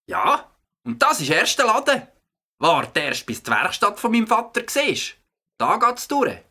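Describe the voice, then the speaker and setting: A woman playing an eager, helpful young boy with a slightly raspy voice and a Swiss German accent in a children's audio play. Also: on the high side, big pitch swings, unhurried, full character voice. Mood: excited. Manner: bright, eager.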